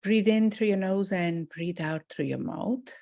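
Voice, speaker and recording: A woman speaks as a meditation teacher, guiding listeners through a spiritual talk. Her delivery is very slow and measured, with deliberate gaps between short phrases. The tone is relaxed and mellow at a medium pitch, giving a soothing manner. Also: vocal fry.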